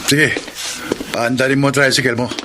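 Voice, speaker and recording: An actor playing Simon, a tired working father and van driver who argues with his wife and then plots in hushed tones with a friend. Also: mid-range voice, level tone, moderate pace, lightly acted. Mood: conspiratorial. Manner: tired then argumentative, later hushed and conspiratorial. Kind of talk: classic radio drama acting. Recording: noisy old radio drama recording.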